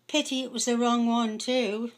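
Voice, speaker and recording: A woman's voice: on the high side, level tone, moderate pace. A woman with a Houghton-le-Spring pitmatic accent from North East England, reading play dialogue as an amateur. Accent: Houghton-le-Spring pitmatic, North East English. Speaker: amateur script reader. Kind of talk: dramatic dialogue read-through.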